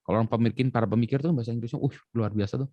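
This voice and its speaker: A middle-aged man from Indonesia, an expert guest explaining in an animated way with lots of examples and fillers. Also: medium pitch, racing, no echo, lo-fi sound. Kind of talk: conversational interview explanation.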